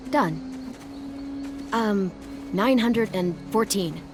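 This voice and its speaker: A woman playing a caring, steady teenage girl survivor in a video game, speaking with an American accent in a reassuring, dryly teasing way. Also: mid-range voice, extremely slow. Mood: playful, dry. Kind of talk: video game voice acting, casual dialogue. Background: background music.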